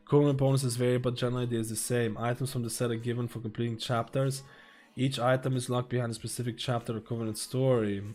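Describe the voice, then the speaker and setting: This is a male streamer at a mid-range pitch, reading a guide aloud and breaking off into relaxed asides. His voice is wearing thin, and he stumbles and restarts words as he goes. Soft music plays faintly behind him. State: losing his voice. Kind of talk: live-stream chat, reading a guide and viewer questions aloud.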